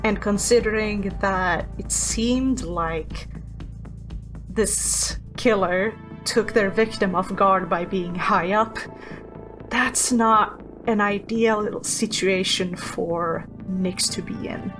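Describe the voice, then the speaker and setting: A woman's voice: mid-range voice, slow, fairly bright tone, soft background music, low-quality recording. A woman speaking as a player in a tabletop roleplaying game, describing her character's actions and asking questions.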